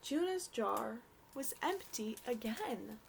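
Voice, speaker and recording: Young woman, children's story reader: high, lively intonation, gentle, takes her time. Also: slow pace, vocal fry, a little hiss or hum. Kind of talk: read-aloud storybook narration for children.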